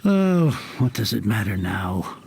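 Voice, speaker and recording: A man's voice: fairly low pitch, big pitch swings, a little slow, creaky, a little mellow, a little hiss or hum, exaggerated voice. A man voicing a weary, downtrodden merchant in a video game, lamenting with resignation. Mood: despairing. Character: gloomy, defeated, weary male ore trader. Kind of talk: animated video game voice acting. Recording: background music under the voice.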